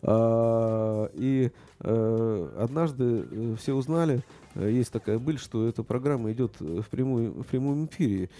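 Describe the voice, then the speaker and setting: A middle-aged man with a very dark, mellow voice. He talks casually about politics and stumbles often, filling pauses and restarting his sentences. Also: mid-range voice. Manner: hesitant, many fillers and restarts.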